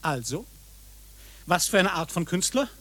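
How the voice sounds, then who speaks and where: A man's voice: high-pitched, big pitch swings, measured pace, a bit bright, exaggerated voice. An actor playing a pompous, bullying policeman who interrogates in mock-formal, indignant tones in a satirical radio play. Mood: interrogating, sneering.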